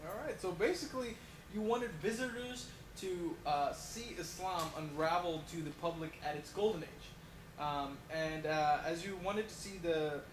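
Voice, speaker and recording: A man's voice: fairly high pitch, measured pace, close to an everyday voice. A man playing a friendly, polite talk show host in a homemade mock interview, chatty and hesitant, on echoey, noisy audio. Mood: polite, welcoming.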